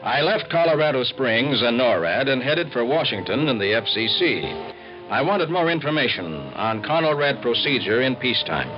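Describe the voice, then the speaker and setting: An actor playing an earnest investigator, narrating and interviewing deliberately and dramatically in a scripted 1950s radio documentary. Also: male voice, mid-range voice, expressive, close to an everyday voice. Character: earnest male investigator narrating a civil defense report. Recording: dull old radio recording, music behind.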